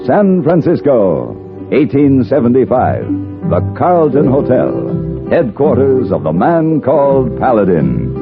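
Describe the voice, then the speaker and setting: A man's voice: medium pitch, huge pitch swings, slow, phone-quality sound. A man announcing a 1950s radio western in a dramatic, declamatory scripted style. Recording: old radio broadcast, loud music.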